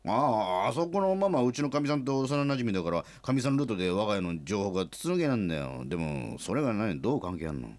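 Middle-aged company president, hesitant, stammering, good-natured, low creaky voice, very animated, comic radio drama. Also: male voice, fast, hardly any pauses. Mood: baffled, panicky.

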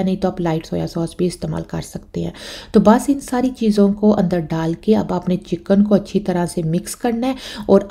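A woman's voice: mid-range voice, mellow. A woman with a Pakistani Urdu accent, hosting a cooking channel and explaining a recipe step by step in a chatty voice-over.

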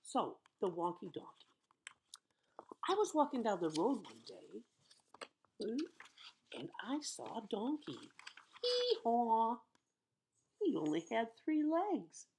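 A woman's voice: mid-range voice, a little mellow, slightly rough. A woman in her sixties reading a children's picture book aloud in a playful, dramatic, sing-song way, recorded at home on a webcam microphone. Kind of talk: animated children's storybook read-aloud. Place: indoor room at home.

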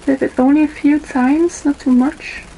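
A young woman in her twenties with a Dutch accent, whose first language is Dutch, giving a makeup tutorial into a webcam in a small bedroom, pausing often with ums as she works. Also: high-pitched, fairly even intonation, dark tone, a little hiss or hum, low-quality recording. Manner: unhurried, with frequent ums and pauses while applying makeup. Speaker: beauty vlogger. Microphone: webcam in front of her.

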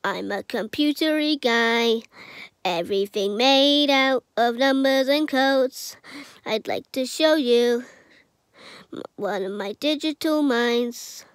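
A man's voice: very high-pitched, slow, a bit bright, clean tone, no room sound, exaggerated voice. A man voicing a chirpy, boastful talking computer in a children's puppet show parody, with sing-song, childlike phrasing. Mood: cheerful, boastful.

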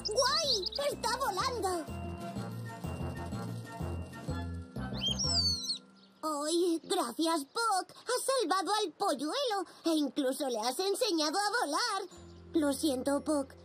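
A little cartoon zebra foal, curious and caring, speaking Castilian Spanish. The voice is very high and childlike, swinging eagerly up and down at an unhurried pace. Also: animated, a bit bright, exaggerated voice. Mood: excited, then tender. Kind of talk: animated children's cartoon dub. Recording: music behind the voice.

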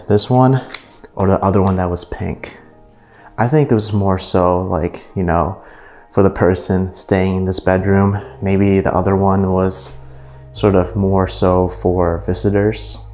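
A man's voice: low-pitched, flat delivery, unhurried, darkish tone, soft background music. A man casually narrating as he walks through an abandoned mansion, easily impressed and full of fillers, recorded on a camera mic indoors. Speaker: urban explorer vlogger.

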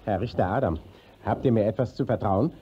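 An actor playing a courteous, quietly suspicious senior court inspector, formal and inquisitive, in a radio play. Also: male voice, on the low side, a little fast, slight background noise, lightly acted. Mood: suspicious, authoritative. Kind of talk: classic German radio play acting. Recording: dull old 1950s radio recording.

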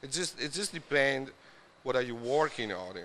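A man's voice: medium pitch, lively intonation, a little slow, sharp tone, a little hiss or hum. A man from Sardinia with an Italian accent, talking casually and hesitantly in an interview, often saying "you know". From Sardinia, Italy. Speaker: glass artist.

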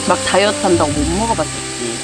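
A woman's voice: fairly low pitch, lively intonation, fast. A woman from South Korea chatting casually to viewers as a solo camping vlogger, with little asides and exclamations as she cooks and eats outdoors. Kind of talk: casual solo vlog chat. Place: outdoors at a campsite at night, beside a car. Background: music, sizzling food.

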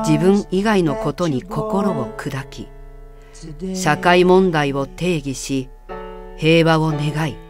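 A woman narrating a personal essay about a film, reading in a calm, reflective, measured way. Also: sits low. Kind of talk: calm literary narration over film clips. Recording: voice-over with music underneath.

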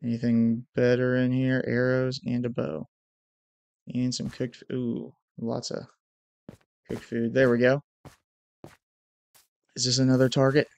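A man's voice: mid-range voice, monotone, measured pace, creaky, pauses often, darkish tone. Young male gamer, stuffy nose, headset mic, home room, hyped intros and muttered play-by-play. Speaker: gaming YouTuber. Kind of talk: casual gameplay commentary.

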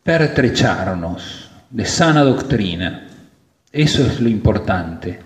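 A priest preaching a sermon at Mass, slow and emphatic, stressing key words. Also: male voice, lowish voice, lively intonation. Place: church. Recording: roomy church with long reverb.

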